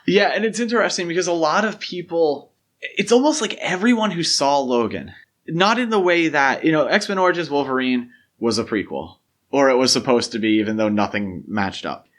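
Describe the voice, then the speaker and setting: A man's voice: a little high, animated, normal speed, fairly bright tone. A man hosting a podcast, talking casually about films in an explanatory, opinionated way with long, winding thoughts. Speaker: podcast host. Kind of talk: casual film discussion.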